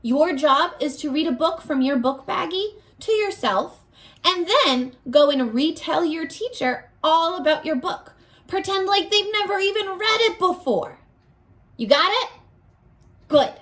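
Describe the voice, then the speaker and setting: A woman's voice: extremely high pitch, expressive, measured pace, fairly bright tone. A woman teacher leading a read-aloud lesson for young children, guiding them with simple questions and encouragement. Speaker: elementary school teacher.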